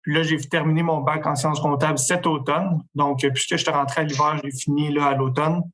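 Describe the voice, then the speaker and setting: A young man from Quebec with a Québécois accent, calmly recounting his path as a podcast guest. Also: fairly high pitch, a little fast. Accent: Québécois French. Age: young adult. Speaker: accounting student guest. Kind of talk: casual interview answers.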